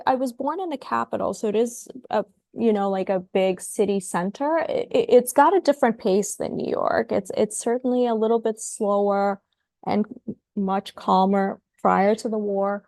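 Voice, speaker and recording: A woman's voice: a little high, moderate pace, a little mellow, no room sound, studio quality. A woman from Ukraine, a guest psychologist in a podcast interview, answering thoughtfully and often repeating words as she starts her sentences.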